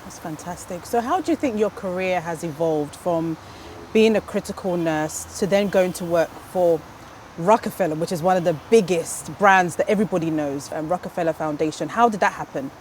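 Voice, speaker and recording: A woman hosts the interview in a low, steady voice, asking open questions and affirming her guest warmly and often. Faint outdoor noise hums behind her. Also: moderate pace. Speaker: interviewer, show host. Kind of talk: conversational interview.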